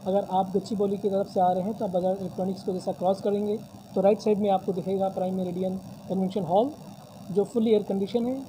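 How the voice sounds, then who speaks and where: A man's voice: high-pitched, level tone, fast talker, noisy background. A man answering reporters' questions at a press conference, formal and promotional, often using religious phrases. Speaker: convention hall representative. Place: decorated hall at a press table. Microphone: many news mics on a table.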